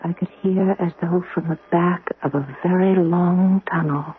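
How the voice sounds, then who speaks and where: An actress playing a gentle, humble young model in a radio drama, hesitant and wistful. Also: female voice, lowish voice, fairly even intonation, lightly acted. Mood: dreamy, entranced. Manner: hesitant, apologetic, breathy pauses. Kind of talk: radio drama acting and first-person narration. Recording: noisy, narrow-band old radio recording.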